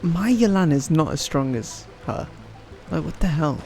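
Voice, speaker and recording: A man's voice: a little high, expressive, normal speed. A man giving casual, blunt, mocking reaction commentary as he streams a game, swearing freely. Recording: clear game music behind the voice.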